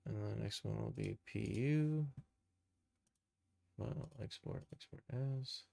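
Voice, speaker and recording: A man giving an informal live software tutorial, thinking aloud and muttering steps to himself as he works. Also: fairly low pitch, takes it slowly, creaky, no echo. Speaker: live streamer, game and cartoon developer.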